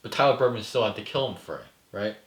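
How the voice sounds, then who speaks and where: A man's voice: lowish voice, animated, fast talker. A man speaking casually as a filmmaker in a discussion, explaining his ideas and often checking in with "right?". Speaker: filmmaker answering questions. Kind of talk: casual post-table-read discussion. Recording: echoey room.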